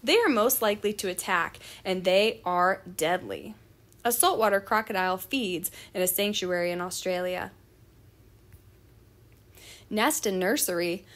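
A woman's voice: medium pitch, lively intonation, normal speed, a bit bright. A woman reading a children's nonfiction book aloud in a teacherly manner.

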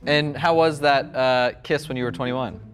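Young man hosting with deadpan jabs. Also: mid-range voice. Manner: deadpan joking, quick jabs. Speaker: show host. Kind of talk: teasing game-show hosting.

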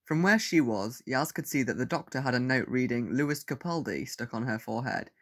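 Guy narrating an audio story, normal mid pitch, reading from a script. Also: lively intonation, brisk.

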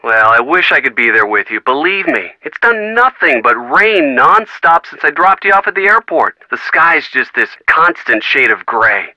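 An actor playing an easygoing, teasing young American boyfriend in a video game, casual and wry. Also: male voice, a little high, very animated, moderate pace, few pauses, pushed and bright, no echo, heavily acted, rough recording. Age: young adult.

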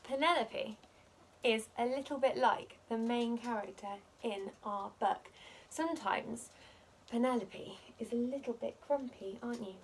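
A young woman reads a children's story in a high, expressive voice. She takes it slowly and clearly paced for little listeners. It is recorded in a living room with faint background noise. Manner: expressive, measured, for small children. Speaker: school teacher reading a picture book.

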